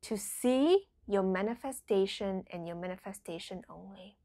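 A woman leading a guided meditation in a soothing, deliberate way, letting phrases trail into a breathy whisper. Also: mid-range voice, warm tone.

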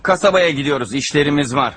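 A man's voice: on the high side, expressive, quick pace, noisy background, lightly acted. A man playing a nervous, overprotective father in a dubbed cartoon, stammering and exclaiming in a fussy, jumpy way. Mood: fussing. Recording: music behind the voice.

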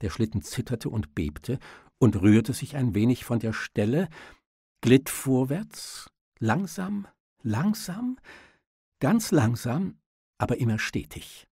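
A man's voice: mid-range voice, animated, slow pace, dark tone, slightly rough, no room sound, clean studio sound. A man reading a literary audiobook as narrator, in a dramatic storytelling style.

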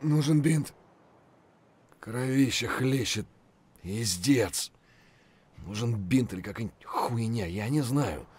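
An actor playing a gruff, foul-mouthed Russian soldier with a husky voice, speaking in terse phrases for a military shooter game. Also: male voice, mid-range voice, lively intonation, takes it slowly, pauses often, darkish tone. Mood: pained, weary.

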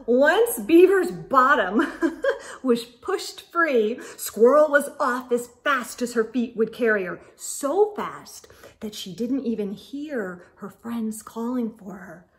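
Middle aged lady doing kids read aloud, high pitched, really slow, dramatic pauses, little oh asides. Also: animated, a bit bright. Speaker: children's storytime host. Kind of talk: expressive picture-book read-aloud for young children. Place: small indoor room with bookshelves.

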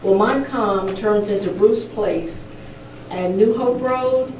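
A woman's voice: medium pitch, slow, darkish tone, slight background noise. A woman speaking at public comment in a council meeting, polite and concerned. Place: large council chamber. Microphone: podium microphone heard from a distance. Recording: echoey chamber, mic at a distance.

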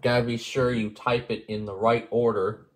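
A man's voice: medium pitch, little pitch movement, normal speed. A man giving a casual hands-on tutorial as its host, thinking aloud as he works through the steps indoors. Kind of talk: casual hands-on app demonstration.

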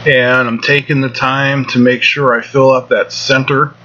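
A man's voice: mid-range voice, little pitch movement, measured pace, pushed and bright. A middle-aged man giving a casual welding lesson in a home shop, talking in a plain-spoken, folksy way. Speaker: welding instructor, show host. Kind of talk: casual instructional voice-over. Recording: dull lo-fi sound with faint air conditioning hum.

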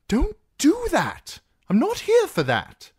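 A man's voice: very high-pitched, wildly swooping pitch, slow, no room sound, studio quality, voice pushed up, full character voice. A man voicing an anxious, timid young man in an audiobook, earnest and flustered as he pleads. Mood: flustered protest. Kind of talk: audiobook character voice.

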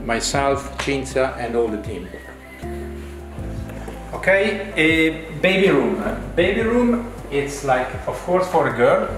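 A middle-aged man, speaking English as a second language, presents a property tour. His delivery is halting yet full of enthusiasm, at a medium pitch. The rooms ring with strong echo, and faint guitar music plays behind him. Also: a bit bright. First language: not English. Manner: halting, filler-heavy, enthusiastic praise. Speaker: designer presenting a home renovation. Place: tiled and furnished rooms inside a villa. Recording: very echoey rooms, background music. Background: instrumental guitar music.

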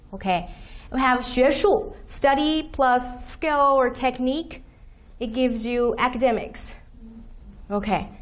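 A woman whose first language is Chinese, giving a university lecture from a podium and explaining terms slowly with many "okay"s. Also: a little high. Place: lecture room, standing at podium by projector screen.

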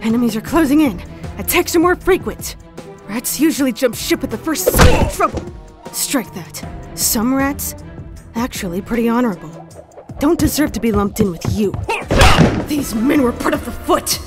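A woman's voice: a little high, lively intonation, moderate pace, long reverb tail, close to an everyday voice. Casting: actress for a hard-boiled female mutant fox assassin, cool and loyal, narrating a comic over prominent music. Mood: scornful, angry. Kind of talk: comic dub, first-person scripted narration. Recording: loud music behind the voice.

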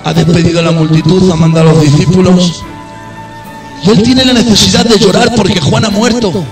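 A man preaching a sermon, passionate, dropping to quiet low tones and then rising to shouted peaks. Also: steady tone, a little fast, recorded from a distance, over music. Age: adult. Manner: impassioned, swings between low intimate tones and high shouted peaks.

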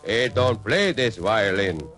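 A man's voice: lowish voice, very animated, takes it slowly, music in the background. A man voicing a big, simple cartoon walrus who speaks ponderous on a children's record. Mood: stolid. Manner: ponderous, broken grammar.

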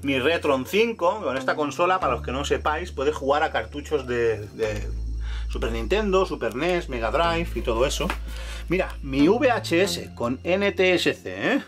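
A man's voice: a little high, lively intonation, normal speed, a bit bright, faint music behind. A middle-aged man from Catalonia with a La Mancha accent, chatting enthusiastically to viewers as he walks through a room at home. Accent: La Mancha Spanish. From Catalonia, Spain. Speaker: YouTube host. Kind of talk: casual room tour vlog. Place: home room full of shelves, indoors.

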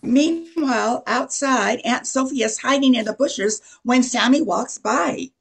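A woman's voice: sits high, a little slow, creaky, slightly rough, heavily acted. An older woman playing the narrator of an amateur old-time radio play. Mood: storytelling.

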